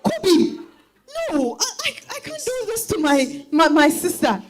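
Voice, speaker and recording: A woman's voice: very high-pitched, big pitch swings, measured pace, full character voice. A woman with a Ghanaian accent playing an anguished, torn woman in a stage reading, faltering and pleading.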